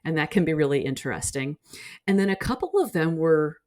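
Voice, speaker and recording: Woman, low voice, articulate and explanatory, for conversational analytical commentary. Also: expressive, fairly quick, darkish tone. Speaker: guest scholar and commentator.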